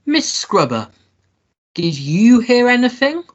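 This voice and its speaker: A woman playing a pompous young gentleman suitor in a melodramatic radio play, with a theatrical, drawn-out manner. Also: on the high side, big pitch swings, husky, dull audio, exaggerated voice. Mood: put out. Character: pompous young gentleman suitor, self-satisfied, easily outraged.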